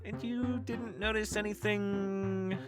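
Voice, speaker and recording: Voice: medium pitch, fairly even intonation, takes it slowly, over music, lightly acted. An actor playing an anxious but reasonable young traveler in a sci-fi audio drama, questioning and placating.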